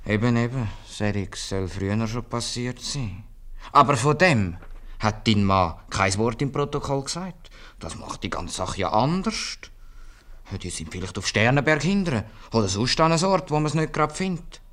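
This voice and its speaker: A man's voice: mid-range voice, very animated, moderate pace, fairly bright tone. A man playing a middle-aged, dry but kindly town bailiff and old suitor in a 1950s Swiss German radio comedy. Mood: thoughtful. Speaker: actor in a radio play.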